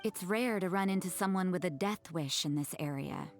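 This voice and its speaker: Woman voicing cool, dry-witted game loner, slightly low and curt, soft music behind. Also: normal speed, lightly acted. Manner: deadpan, curt. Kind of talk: game cutscene acting.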